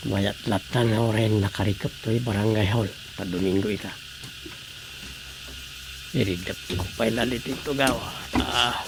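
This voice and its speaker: Low, creaky-voiced nosy Filipino village woman. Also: exaggerated voice. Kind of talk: Filipino radio comedy drama acting. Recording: noisy background with insect and animal ambience.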